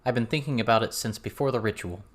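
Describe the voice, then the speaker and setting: A man's voice: mid-range voice, fast talker, close to an everyday voice. A man voicing a serious, blunt teenage girl with a firm, questioning manner in an audiobook. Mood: firm, businesslike.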